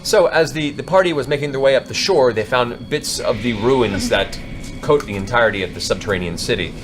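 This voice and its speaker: A man's voice: mid-range voice, fairly quick, music in the background. A man narrating a tabletop fantasy game as game master, with a dramatic, descriptive storytelling manner.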